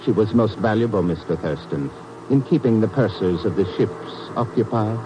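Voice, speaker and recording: An actor playing a calm, suave, fatalistic villain in a 1950s radio drama. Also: male voice, fairly low pitch, lightly acted. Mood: resigned. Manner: calm, unhurried, philosophical. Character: resigned, suave male villain, calmly fatalistic. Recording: noisy old radio recording.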